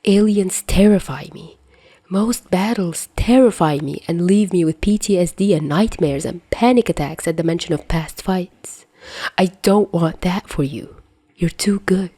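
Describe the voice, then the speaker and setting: A woman voicing a remorseful, protective male superhero mentor in a fan audio drama, softly and tenderly, with halting reassurance. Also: on the low side, breathy edge, no echo. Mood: remorseful, earnest. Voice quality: soft.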